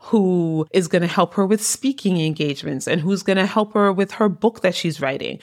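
A woman hosting her podcast, a coach making an energetic, persuasive pitch, her voice slightly hoarse and tagging lines with "right?". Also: moderate pace. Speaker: podcast host and business coach.

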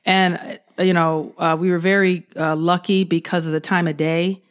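A woman police officer telling an animated story in an interview, acting out what she said at the time. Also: lowish voice, normal speed, smooth. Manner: animated, acts out her own quotes.

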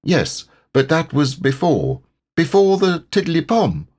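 A man voicing a cheerful, slow-witted storybook bear who talks slowly and gets muddled. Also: a little high, wildly swooping pitch, a little mellow, voice pushed up, full character voice.

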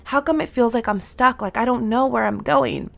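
A woman in her thirties hosting a solo podcast, bubbly and affirming, her voice slightly nasal and raspy today. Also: on the high side, moderate pace. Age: 30s. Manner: bubbly, affirming, with slang and laughs. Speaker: podcast host and soul mentor. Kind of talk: upbeat solo podcast talk.

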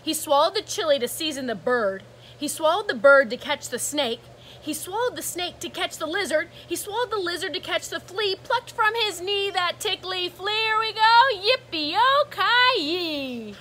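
A woman reading a rhythmic picture book aloud to children like a teacher, animated and sing-song, with asides to her listeners. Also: very high-pitched, slight background noise, rough recording. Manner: animated, sing-song, drawn-out exclamations and gasps; engaging listeners with asides and prompts. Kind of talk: rhythmic storybook read-aloud.

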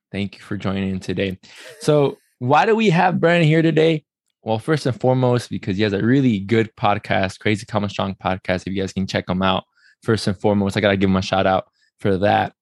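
A man hosts a casual podcast interview in a close, dry recording. His voice sits at an average pitch. He is friendly and welcoming, and he often doubles back on words and restarts his sentences. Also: creaky, dry recording. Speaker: podcast host.

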